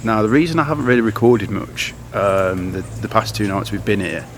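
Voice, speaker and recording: A man's voice: fairly low pitch, animated, a little fast. A young man chatting casually to a handheld camera as a travel vlogger, rambling with lots of fillers. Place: outdoors in towns and on the road. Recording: outdoor camera audio with noise.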